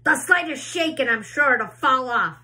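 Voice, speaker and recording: A woman voicing a mean, bossy middle-aged aunt who shouts harshly in a storybook reading. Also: high-pitched, animated, normal speed, voice pushed up, heavily acted. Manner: harsh, yelling.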